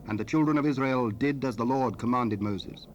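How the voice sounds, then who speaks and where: A man's voice: medium pitch, normal speed, muffled recording, lightly acted. A man narrating a dramatized Bible in a solemn, formal scripted style. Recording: noisy 1970s cassette rip.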